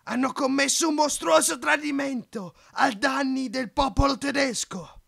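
Casting: paranoid middle-aged dictator in a war film, gravelly and forceful, given to emphatic ranting. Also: male voice, very high voice, unhurried, exaggerated voice. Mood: furious. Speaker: amateur dubbing voice actor. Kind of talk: amateur film dub, raging monologue.

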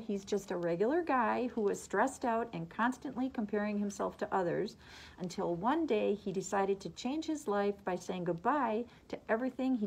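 A middle-aged woman, a librarian recommending books and reading summaries, her voice slightly muffled by a face mask. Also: medium pitch.

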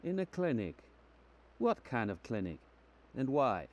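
An actor playing a calm, matter-of-fact male police officer asking probing questions in a video game. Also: medium pitch, unhurried, muffled recording.

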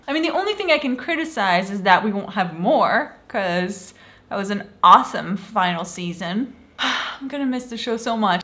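A woman's voice: on the high side, fairly bright tone. Upbeat young woman reviewing TV. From Switzerland. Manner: enthusiastic, playfully acts out quoted lines. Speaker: TV show reviewer. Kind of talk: casual review vlog. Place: small room indoors.

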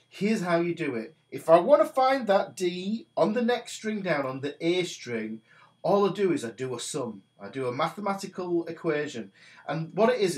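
A man in his forties with a stuffy nose from a cold, casually teaching a guitar lesson from a small home studio while he plays. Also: a little high. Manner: chatty, talks while playing guitar. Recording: guitar notes under the voice. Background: guitar playing.

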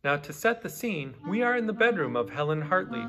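A man's voice: fairly high pitch, very animated, brisk, sharp tone, faint music behind. A middle-aged man presenting a theatrical comic introduction in a hammy, playful way. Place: small furnished room indoors.

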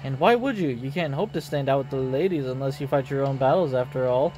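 A man's voice: medium pitch, quick pace, mellow, faint music behind, close to an everyday voice. Man doing a smug, scheming old villain. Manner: courtly, smug. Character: sly, scheming older male villain, theatrical.

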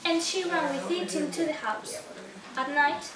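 A woman's voice: high voice, a little slow, crowd noise. A young woman reading a story aloud as narrator of a puppet show. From Spain. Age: young adult. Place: small indoor room at a day care centre. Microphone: distant camera microphone. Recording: roomy, distant camera mic, clear noise.

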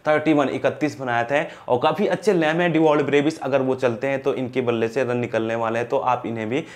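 A man's voice: mid-range voice, fairly even intonation, brisk. A young man talking casually to camera about cricket team picks, chatty and direct with viewers, recorded on a lapel mic in a small indoor studio. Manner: chatty, addresses viewers as brothers. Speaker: cricket fantasy team predictor.

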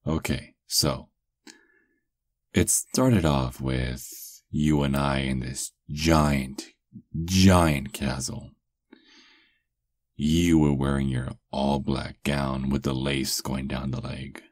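An extremely deep-voiced vampire lover acted by a man, doting and playfully possessive. He murmurs very slowly and intimately in an ASMR roleplay. The sound is close and dry. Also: fairly even intonation, dry recording, full character voice. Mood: adoring. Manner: slow, intimate murmuring with soft chuckles. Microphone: close microphone, dry.

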